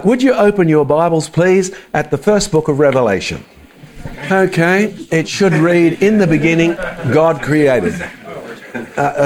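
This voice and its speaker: A man's voice: a little high, a little mellow. A man with a mild Australian accent giving a casual, joking church talk to an audience. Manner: chatty, joking asides to the audience. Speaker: creationist speaker.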